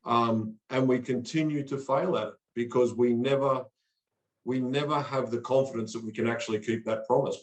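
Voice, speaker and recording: An earnest man from Australia talks like a motivational coach, often doubling words as he gets going. His voice sits at an ordinary pitch. The room around him is echoey. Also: a little mellow. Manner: earnest, motivational, repeats words when starting phrases. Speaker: podcast guest, coach. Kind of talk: conversational interview.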